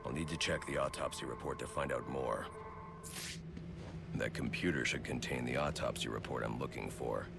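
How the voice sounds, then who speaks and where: An actor playing a grim, brooding male vigilante detective in a video game, speaking in terse, clipped lines. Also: moderate pace. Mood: analytical. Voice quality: deep gravelly. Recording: game soundtrack music behind.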